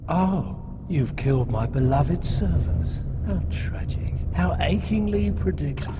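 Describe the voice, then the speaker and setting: A gloating, scheming villain lord who savors every word at a slow, deliberate pace. His tone is sneering and theatrical, full of mock sympathy. Steady background noise runs under the game cutscene audio. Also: a little high.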